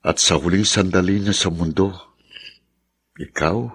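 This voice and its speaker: A solemn man offering consolation in a Filipino radio drama. The voice is low and husky, with a measured delivery. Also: a bit bright, exaggerated voice. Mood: solemn, consoling.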